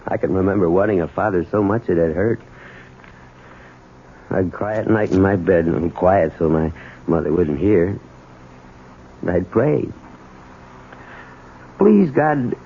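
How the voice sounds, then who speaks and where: An actor playing a troubled, desperate husband in a 1970s radio drama, halting and emotional. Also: male voice, deep voice, lively intonation, phone-quality sound. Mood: anguished. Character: troubled, desperate husband and small business owner, bitter toward his father. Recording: thin, noisy old radio broadcast.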